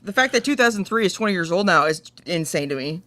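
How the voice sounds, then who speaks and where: Male co-host with a high, bright voice, talking casually in short interjections. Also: animated, fast, a little hiss or hum. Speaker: podcast co-host. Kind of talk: casual chat.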